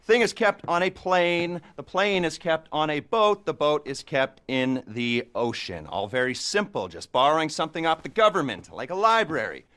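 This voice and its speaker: Game cutscene: wry, sarcastic heist planner, slightly high-voiced man. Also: very animated, moderate pace, few pauses, a bit bright. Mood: sardonic. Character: sardonic male heist mastermind, dry-witted schemer. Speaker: heist planner briefing the crew. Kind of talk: video game cutscene dialogue.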